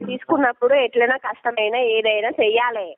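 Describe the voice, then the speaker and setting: A high-pitched woman speaks as a debt collector, firing off demands quickly and confrontationally. She scolds and insists without softening. The sound is a dry, narrow telephone recording. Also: fairly even intonation, no room sound. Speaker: loan recovery agent on a phone call. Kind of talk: debt collection phone call. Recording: muffled, narrow-band telephone line recording.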